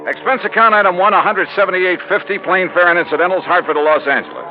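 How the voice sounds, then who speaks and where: A man acting a brisk, wry American insurance investigator in a 1950s radio drama, with clipped, punchy delivery. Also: sits high, animated, over music. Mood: brisk narrating. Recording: muffled vintage radio recording.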